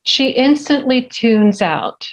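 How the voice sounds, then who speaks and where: A woman's voice: a little high, animated, slow pace, dull audio. A woman giving scripted narration of stage directions at a screenplay table read.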